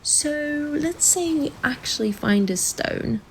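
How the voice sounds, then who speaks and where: An actress as a playful, curious young woman in a dreamy romantic audio drama. She teases in an unhurried way, with a creaky edge to her voice. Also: high-pitched, lively intonation, soft background music, heavily acted, rough recording. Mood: teasing, curious. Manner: teasing, playful.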